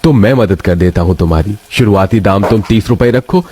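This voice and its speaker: A man's voice: fairly low pitch, a little fast, a little mellow, slight background noise, voice pushed down, natural voice. A man voicing a caring, practical older father, reassuring and full of advice, in a cartoon story. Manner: reassuring, advising.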